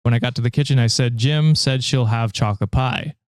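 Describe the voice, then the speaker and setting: A young man hosting a podcast, reading a story aloud and chatting about it in a relaxed, dry way. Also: mid-range voice, a little fast, no room sound. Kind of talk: story reading with casual chat.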